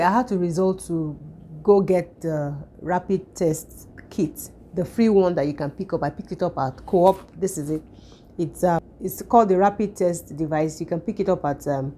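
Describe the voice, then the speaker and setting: A woman vlogger with a croaky, hoarse voice, recovering from illness, chatting at home in a relaxed way with frequent fillers and restarts. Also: lowish voice, normal speed, slight background noise. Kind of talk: casual vlog chat.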